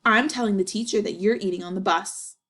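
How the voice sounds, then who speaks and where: A woman's voice: mid-range voice, a little fast, fairly bright tone, lightly acted. Woman acting a whiny tattletale schoolboy. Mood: nagging. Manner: whining, nagging. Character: whiny, bossy tattletale schoolboy, a stickler for rules.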